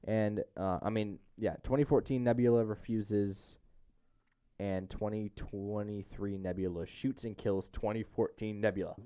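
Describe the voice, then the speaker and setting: A young man hosting a casual movie podcast. His voice sits on the low side and is smooth and relaxed in tone. He recaps with enthusiasm, leaning on slang and emphasis. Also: measured pace. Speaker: podcast host.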